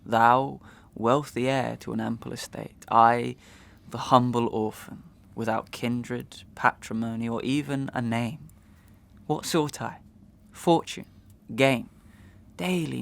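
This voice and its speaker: An actor playing an anguished, wronged young gentleman in a Victorian audio melodrama, speaking in declamatory, archaic, impassioned style with a creaky voice. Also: medium pitch, fairly even intonation, takes it slowly. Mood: earnest, pleading. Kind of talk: Victorian stage melodrama acting in an audio drama.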